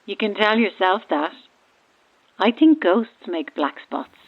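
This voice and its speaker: A woman's voice: mid-range voice, very animated, slow, slightly rough, heavily acted. Stranded boat woman on narrow, tinny marine radio: chatty yet cryptic, knowing ghost believer, audio horror drama. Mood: knowing, teasing. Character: eerie, self-aware female ghost believer calling from stranded boat. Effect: radio transmission filter. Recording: thin, band-limited marine radio.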